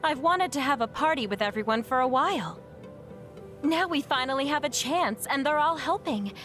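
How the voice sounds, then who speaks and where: A woman's voice: sits high, expressive, moderate pace, a bit bright, soft background music. A woman voicing a sweet, eager young female team organiser in an anime game, earnest and polite. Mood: delighted. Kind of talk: anime video game dub acting.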